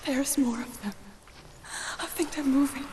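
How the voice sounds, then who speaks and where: An actress playing a fragile, frightened young woman in a video game, breathy, halting and fearful. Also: high voice, fairly even intonation, noisy background. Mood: scared. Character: frightened young woman, fragile, pleading, groping through darkness. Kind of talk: dramatic video game acting.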